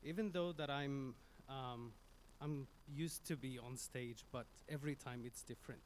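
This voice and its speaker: Man, Syrian Arabic accent, Arabic native speaker, public speech, halting, mid-pitched voice, stage microphone. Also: slight background noise. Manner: earnest, halting, with many restarts. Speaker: community speaker.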